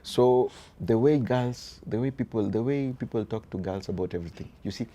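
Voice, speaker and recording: A man with a Kenyan accent and a very deep voice, talking earnestly as an interview guest about raising his sons, often dropping "you see" and shifting into Swahili. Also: a little fast, mellow. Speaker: guest, father of boys. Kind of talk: reflective conversational interview.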